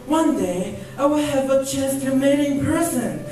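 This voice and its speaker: A woman's voice: sits high, animated, unhurried, mellow. A young woman playing an earnest, grateful war-veteran father in a student radio play, recorded in an echoey hall. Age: young adult. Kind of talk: student radio drama acting. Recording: very echoey hall, distant mic, music behind.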